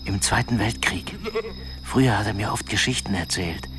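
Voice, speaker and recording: Male actor as subdued middle-aged man, hushed, low voice, intimate radio play, music behind. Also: normal speed, natural voice. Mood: quiet, reflective. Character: subdued middle-aged man quietly recalling family history. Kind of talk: intimate radio drama dialogue.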